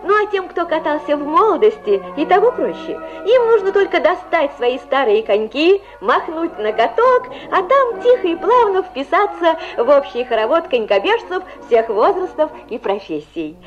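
A high-voiced woman presenter reads her script with lively, wide-ranging intonation, speaking straight to her listeners. The sound is muffled, as on an old radio recording, with music behind her. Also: normal speed, hardly any pauses. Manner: addresses listeners directly, expressive delivery.

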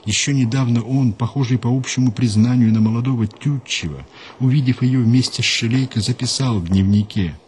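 A man hosting a radio program, reading a scripted story in a measured, unhurried way and dropping lower and quieter for quotations. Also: a little hiss or hum. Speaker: radio host and narrator.

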